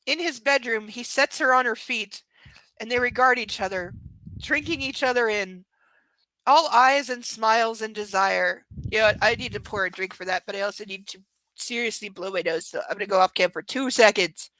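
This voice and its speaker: A woman reads a novel aloud on a live stream from home, her bright, fairly high voice close and dry on a camera mic. She keeps stopping to poke fun at the story in chatty, sarcastic asides. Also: moderate pace, dry recording, rough recording. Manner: sarcastic, chatty asides between read passages. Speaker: book reader and streamer. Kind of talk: live book reading with casual commentary.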